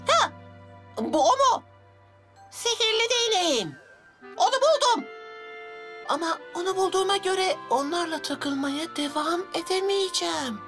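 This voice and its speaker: A woman voicing a young, mischievous magical child who longs for friends, expressive and childlike, in a cartoon dub. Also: very high-pitched, a little slow, long pauses, exaggerated voice. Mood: excited, then reflective. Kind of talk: animated children's series dub. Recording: music behind the voice.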